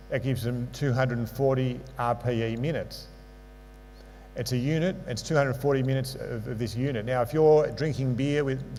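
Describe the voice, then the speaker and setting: A man's voice: medium pitch, darkish tone. Man lecturing in a reverberant hall. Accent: non-local English accent. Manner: explanatory, with dry asides and fillers. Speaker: sports medicine lecturer. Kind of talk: conference lecture with slides. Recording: hall reverb with mains hum.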